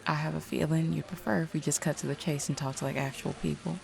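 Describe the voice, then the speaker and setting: A woman's voice: low voice, fairly even intonation, fast, vocal fry, poor audio. A woman playing a confident, shrewd mayor and seer in an audio roleplay, with a direct, knowing, folksy manner. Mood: friendly, sly.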